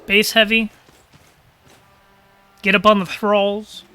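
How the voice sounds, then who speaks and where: A man calls a live game match in a high, ringing voice, talking casually and thinking out loud. His throat is a little crackly. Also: flat delivery, slow pace, clean tone. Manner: casual, chatty, thinking aloud. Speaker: caster.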